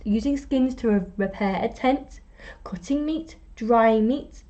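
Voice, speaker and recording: Female primary-style storytime reader, higher-pitched and very unhurried, expressive delivery for young children, on a slightly dull recording. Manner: expressive storytelling with deliberate pauses and emphasis; prompts young listeners with questions. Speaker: teacher reading a picture book to children. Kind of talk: storytime read-aloud.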